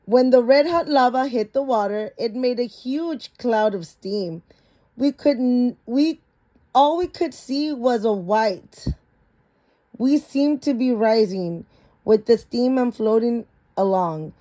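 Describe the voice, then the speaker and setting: Slow-paced woman reading a picture book aloud. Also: fairly high pitch, animated, dark tone. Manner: stumbles over harder words and corrects herself. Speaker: story reader.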